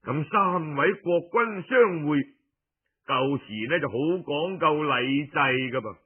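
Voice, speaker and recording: Guy with doing old-school storytelling, high voice, takes his time, theatrical and folksy with pauses. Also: animated, husky, phone-quality sound. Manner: colloquial, theatrical, drawn-out phrase endings with pauses. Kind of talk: traditional Cantonese oral storytelling of historical tales.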